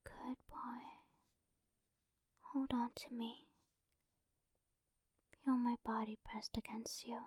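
A woman doing a whispered romantic ASMR roleplay, speaking softly and coaxingly, close to the microphone. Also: fairly high pitch, little pitch movement, takes it slowly, long pauses. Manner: soft breathy whispering, affectionate and coaxing. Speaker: ASMR performer.

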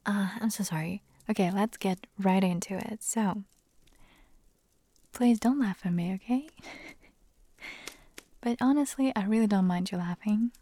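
A woman whispering in an ASMR audio, her voice hoarse and raspy as she nearly loses it, giggling and making chatty, self-deprecating asides. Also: mid-range voice, measured pace, pauses often, dark tone, no room sound. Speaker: ASMR creator.

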